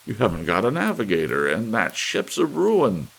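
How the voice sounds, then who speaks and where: A man's voice: on the low side, huge pitch swings, normal speed, vocal fry, pitched above the performer's own voice, heavily acted. A man voicing a cowardly, morose swindler in an audiobook story, pleading and agitated. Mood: anxious protest. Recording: faint hiss under the voice.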